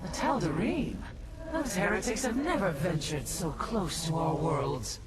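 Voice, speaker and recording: A man's voice: high voice, animated, a little slow, a loud crowd. A man voicing a proud, honor-bound alien warrior commander in a video game, with formal, martial phrasing. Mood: proud, loyal. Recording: distant, very noisy game battle audio.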